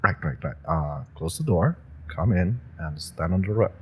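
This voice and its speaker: A man's voice: sits low, expressive, normal speed, darkish tone, breathy edge, exaggerated voice. A man voicing an eager, nervous young rookie villain who stammers and hesitates, in an audio roleplay. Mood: eager, excited. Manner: hesitant, stammering, many fillers. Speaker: listener roleplay actor.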